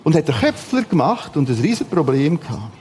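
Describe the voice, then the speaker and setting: A man with a Swiss German accent preaching a sermon in short, repeated, emphatic phrases, with a husky voice. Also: fairly high pitch, big pitch swings, fairly quick, darkish tone, a little hiss or hum.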